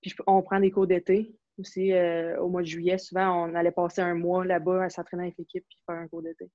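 A relaxed young woman with a Quebec French accent who talks in a loose, chatty way, piling on fillers and restarts. Her delivery stays flat, with little rise and fall. The recording is dry, a bit dull and lo-fi. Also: lowish voice, fairly quick, no echo. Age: young adult. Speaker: interview guest, university hockey player. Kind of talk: casual conversational interview. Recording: slightly dull, lo-fi sound.

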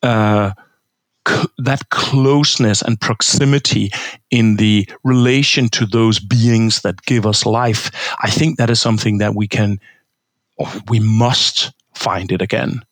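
A man's voice: lowish voice, steady tone, no room sound. A man from Denmark, a historian of religion, giving a thoughtful interview answer with frequent hesitations and restarts. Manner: thoughtful, halting, many fillers and restarts.

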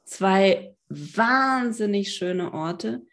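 A woman's voice: mid-range voice, expressive, drawn-out words. A woman with a mid-range voice talks as a podcast guest over a video call. Her speech is chatty and unpolished, full of restarts and small fillers. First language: not French. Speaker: guest, psychiatrist. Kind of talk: casual interview chat.

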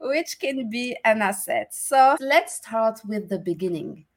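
A woman's voice: fairly high pitch, lively intonation, measured pace. Encouraging woman podcast host. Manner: warm, encouraging questioner with short affirmations. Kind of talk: interview hosting.

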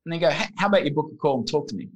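An Australian man in his thirties chats as a podcast guest. He tells his stories with energy and drops into quick voices to act them out. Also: fairly high pitch, slight background noise. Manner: animated storyteller, acts out voices in anecdotes. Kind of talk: casual podcast interview.

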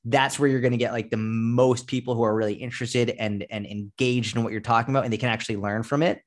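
A man's voice: mid-range voice, steady tone, fast. A man in his thirties, a fitness coach, talking as a podcast guest in an emphatic, explanatory, casually sweary way. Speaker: fitness coach and content creator, interview guest.

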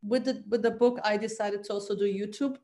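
A woman whose first language is Turkish speaks gently and earnestly as a trauma therapist guest in a casual interview, often pausing and hesitating. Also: mid-range voice, fairly even intonation. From Turkey.

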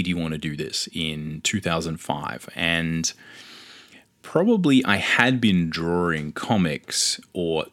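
A man's voice: low voice, a bit bright, no echo, clean studio sound. An Australian man in his forties hosting a podcast, talking through his career in a reflective, rambling way with many fillers and restarts. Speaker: podcast host. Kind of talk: casual solo podcast monologue.

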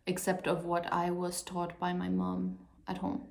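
A woman's voice: on the low side, monotone, moderate pace, vocal fry, a little mellow. A woman who grew up in Portugal, a filmmaker guest on a podcast, talking reflectively and hesitantly with many ums and restarts. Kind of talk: casual interview chat.

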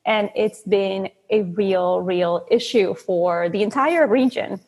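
A woman's voice: medium pitch, takes it slowly, darkish tone, big-room sound, poor audio. A woman from Venezuela in her thirties, a coach telling her story earnestly in a podcast interview. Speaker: guest, manifestation coach.

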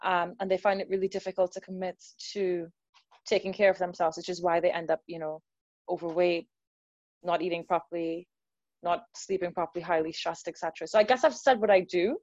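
A woman's voice: on the low side, fairly even intonation, fairly quick, a little mellow, no room sound, muffled recording. A woman in her thirties from Trinidad and Tobago, with an accent mixing Trinidadian and American, chatting as an interview guest about her work as a personal trainer, with lots of fillers and easy laughter. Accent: mixed Trinidadian and American. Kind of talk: casual conversational interview.

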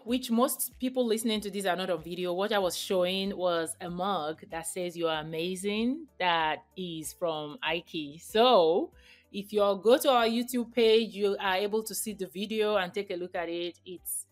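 A woman's voice: mid-range voice, moderate pace, faint music behind. A woman hosting an interview podcast, upbeat and enthusiastic, with emphatic swells as she greets her guest. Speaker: podcast host.

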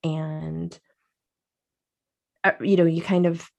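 A woman's voice: sits low, level tone, slow, gravelly, darkish tone, highs cut off. A woman co-hosting a podcast, chatting casually with her sister, with lots of fillers and easy laughter. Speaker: podcast co-host. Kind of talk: casual chat.

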